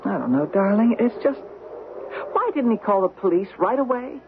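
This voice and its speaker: An inquisitive young American wife in a radio play, high-pitched and very expressive. She probes uneasily, heard through a thin, slightly hissy old broadcast. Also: normal speed, gravelly, heavily acted. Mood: uneasy, probing. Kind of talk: radio drama acting. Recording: tinny, faintly noisy old radio broadcast.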